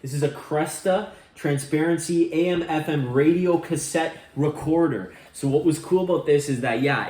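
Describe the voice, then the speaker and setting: A man's voice: medium pitch, a little mellow. A man in his thirties from Canada, chatting in a playful, teasing way while showing old things as co-host. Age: 30s. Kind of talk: casual show-and-tell chat. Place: family home or garage. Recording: echoey room with faint noise.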